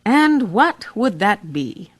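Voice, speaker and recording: A woman's voice: mid-range voice, big pitch swings, takes it slowly. A woman playing a spirited, wry lady examiner of the 1890s in an old-time radio mystery, with a theatrical delivery. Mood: exasperated teasing. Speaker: actor.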